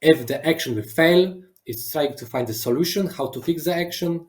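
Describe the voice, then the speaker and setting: Polish man explaining in halting English. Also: fairly high pitch, a little mellow. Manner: halting non-native phrasing, frequent restarts. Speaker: guest speaker, DevOps engineer. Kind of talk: live webinar demo and Q&A. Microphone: video call.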